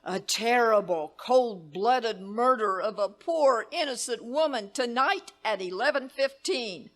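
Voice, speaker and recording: A woman's voice: a little high, expressive, measured pace, a bit bright. A woman playing a demanding, frantic wealthy woman who scolds the telephone operators, in an imperious, fretful voice, in a live staging of an old-time radio play. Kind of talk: live radio play staged as 1930s radio acting.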